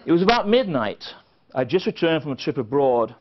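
A man's voice: fairly high pitch, big pitch swings, brisk. Wry man telling stories from a podium. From England. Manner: wry storytelling with dry asides. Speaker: conference speaker. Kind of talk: keynote speech at a podium. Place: conference hall with a seated audience. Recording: dull, lo-fi sound.

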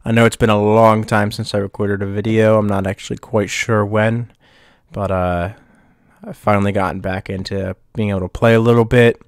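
A young man hosting his channel, giving relaxed gameplay commentary, his voice a bit off from getting over the flu, thinking aloud with pauses and restarts. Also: lowish voice, clear, resonant tone, dry recording, clean studio sound. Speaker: YouTube channel host. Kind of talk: casual gameplay commentary over screen recording.

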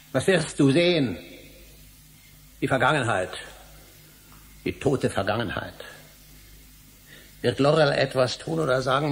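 An actor playing a troubled middle-aged history professor in a German radio play, with formal, intense, emotional delivery and a gravelly voice. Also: male voice, on the high side, a bit bright. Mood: agitated, scolding.

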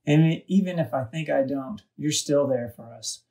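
Gravelly man reading, off the mic. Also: fairly high pitch, normal speed, dark tone, distant mic. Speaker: kids' devotional reader. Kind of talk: scripted devotional reading and prayer for children.

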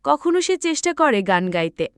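A woman's voice: high-pitched, sing-song, moderate pace, no echo, clean studio sound. A woman narrating a scripted children's story, expressively, as if speaking to young listeners.

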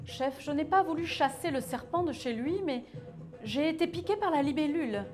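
A woman's voice: sits high, expressive, moderate pace, a little mellow, soft background music, voice pushed up. A woman voicing a young village woman who apologetically explains what happened. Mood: apologetic.

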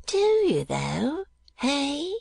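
Storybook old miser: high swooping cracked voice, slow and husky, sly, fearful, wheedling. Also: male voice, huge pitch swings, darkish tone, dry recording, studio quality, heavily acted. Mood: forced mirth, faltering. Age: older. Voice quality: croaking, wheezy cackle, husky and cracked. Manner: faltering, wheedling, repeats himself. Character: anxious, sly, cowardly old miser, tittering bridegroom.

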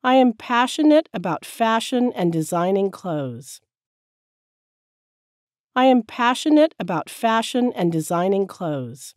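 A woman teacher reading short sentences slowly and clearly, each one said several times for learners to repeat. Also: medium pitch, darkish tone, no room sound, studio quality. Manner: careful, clear enunciation. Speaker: English teacher. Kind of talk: slow repeated drill sentences.

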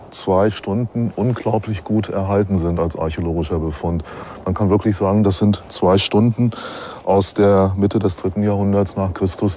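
A man with a soft, mellow and fairly low voice explains as an expert in an interview. There is faint noise around him. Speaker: archaeology expert.

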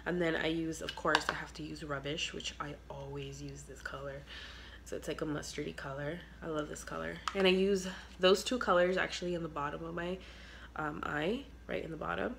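Young woman, low voice, sick and congested, casual chatty beauty vlogger on a phone, trails into whispers. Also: steady tone, fairly quick, vocal fry, background noise. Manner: chatty, filler-heavy, trails off to near whispers. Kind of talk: casual makeup tutorial chat. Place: small bedroom at home. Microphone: phone camera at arm's length.

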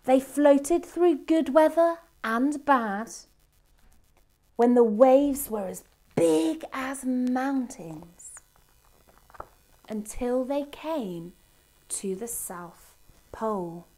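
Slow, mellow woman reading a storybook. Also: sits high, rough recording. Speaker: storybook reader. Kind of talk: expressive children's storybook reading. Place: indoor room by a Christmas tree. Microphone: camera a short distance away.

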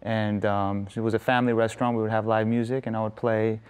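A man's voice: on the low side, level tone, fast, a little mellow, smooth. A young man from Los Angeles, a guest in a TV studio interview, telling stories in a chatty way with lots of "you know" and "like". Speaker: guest musician. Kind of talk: casual interview storytelling. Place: indoor TV studio set.

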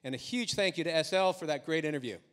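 A man's voice: a little high, quick pace, a bit bright, smooth. A man hosting an event, introducing the next speaker in an upbeat announcing way. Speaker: event host. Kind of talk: emcee introductions. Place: event venue stage. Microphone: handheld microphone. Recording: music playing under the voice.

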